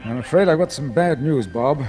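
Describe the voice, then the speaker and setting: An actor playing an authoritative space mission controller in 1950s British radio drama, formal, clipped and measured in his delivery. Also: mid-range voice, animated, darkish tone, soft background music, close to an everyday voice. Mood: grave. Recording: noisy vintage 1950s radio recording.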